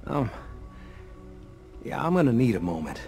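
Folksy easygoing mechanic dude in a game, loyal, casual, very lively pitch. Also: male voice, moderate pace, creaky, soft background music. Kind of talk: video game dialogue.

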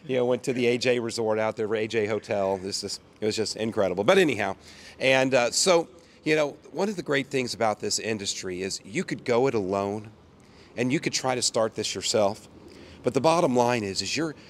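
A man in his sixties from the United States, hoarse from a cold, giving an upbeat folksy sales pitch outdoors. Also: expressive, fairly quick, a little hiss or hum. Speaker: company owner. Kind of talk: promotional chat to camera. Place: outdoors by a brick wall.

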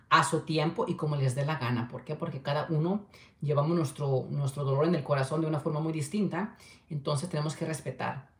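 A man, chatting affectionately on a livestream and reading viewer comments. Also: a little high. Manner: affectionate, chatty, keeps urging likes. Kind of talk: casual livestream chat. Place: small home room with window. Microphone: webcam at desk distance. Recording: echoey room.

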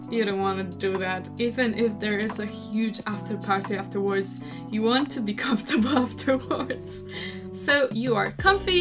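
A young woman in her twenties with a Polish accent, giving chatty, animated advice in a casual vlog from her bedroom. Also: a little high. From Poland. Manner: chatty, animated, gestures a lot while talking. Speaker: cosplay vlogger. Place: bedroom at home. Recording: background music under the voice.

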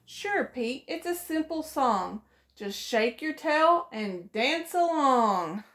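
A woman's voice: high-pitched, animated, slow pace, fairly bright tone. A middle-aged woman, a preschool teacher, reading a picture book aloud to children in a sing-song way, voicing each character, recorded close up in a small room.

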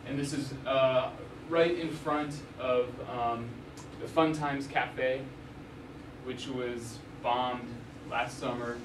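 A man's voice: mid-range voice, fairly even intonation, slow. A young man giving a casual slide lecture with frequent ums and restarts, heard from a distance in a university lecture hall. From Arizona, USA. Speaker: journalist giving a talk. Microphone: room mic at a distance. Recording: echoey hall, mic far off, noisy.

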